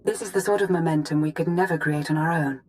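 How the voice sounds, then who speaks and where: A woman acts as a senior intelligence ally in a science-fiction game. Her voice is very low for a woman. She is composed, formal and articulate. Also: fast talker, close to an everyday voice. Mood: measured, serious. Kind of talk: video game voice acting.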